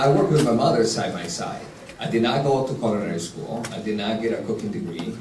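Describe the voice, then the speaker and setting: A man from Italy with an Italian accent, an executive chef giving blunt, practical advice on a panel in a large hall. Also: on the low side. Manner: direct, practical, with broken grammar. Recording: echoey hall, distant mic, light noise.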